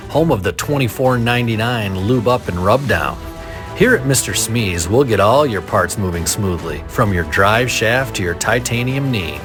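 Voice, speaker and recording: Man playing a low-pitched folksy ad pitchman over music. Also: natural voice. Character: jolly male pitchman for a storybook muffler-and-massage shop. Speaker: commercial announcer. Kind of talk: parody radio commercial read. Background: music bed.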